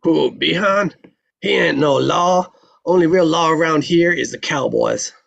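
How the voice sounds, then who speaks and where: A man's voice: fairly high pitch, a little slow, gravelly, fairly bright tone, dry recording, highs cut off, exaggerated voice. A man voicing a jovial old frontier marshal with folksy western talk, in a screenplay table read. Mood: matter-of-fact, wary.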